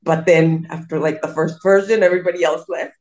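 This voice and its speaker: Middle-aged colombian-born woman, lowish voice, rambling and self-deprecating on a video call. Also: steady tone, brisk, a little mellow. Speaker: guest. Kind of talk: casual interview storytelling.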